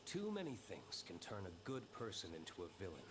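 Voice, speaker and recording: Casting: resolute knight, low steady voice, noisy game audio. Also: male voice, brisk. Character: grave, dutiful male knight. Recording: game audio with background noise.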